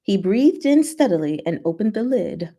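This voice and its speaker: A woman's voice: medium pitch, very animated, normal speed, mellow. A woman hosting a casual livestream, playful and informal, chatting and reading stories aloud. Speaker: host of a livestream.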